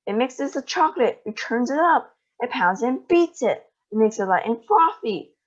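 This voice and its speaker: A woman's voice: on the high side, expressive, normal speed, pitched above the performer's own voice. Woman doing a showy Willy Wonka. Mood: exuberant. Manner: exuberant, boastful, theatrical. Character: eccentric showy male chocolate factory owner. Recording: dull lo-fi recording.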